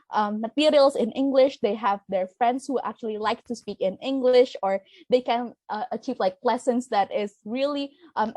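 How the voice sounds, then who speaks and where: Earnest woman, slightly high voice. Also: a little mellow, no echo. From Indonesia. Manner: earnest, explanatory, reasoning step by step. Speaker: discussion panelist. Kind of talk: debate-style discussion.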